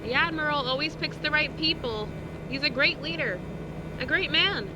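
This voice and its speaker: A woman's voice: sits high, moderate pace, noisy background, natural voice. An actress in her twenties playing an eager, dutiful young military officer in an audio drama, polite and earnest. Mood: earnest, firm. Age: 20s. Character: eager, dutiful young female navy ensign.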